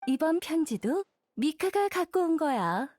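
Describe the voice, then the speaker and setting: A woman's voice: high-pitched, sing-song, measured pace, darkish tone, dry recording, faint music behind. A woman playing a confident, easygoing young woman knight in a game, knowing and conversational. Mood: knowing, casual. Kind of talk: scripted game dialogue.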